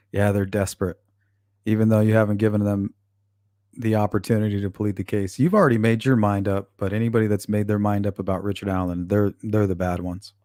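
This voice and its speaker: A man with a lowish voice runs a casual livestream, reading out chat messages and firing back bluntly with plenty of cursing. The sound is dry and close, with little room echo. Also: level tone, fairly quick, mellow. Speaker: channel host reading live chat.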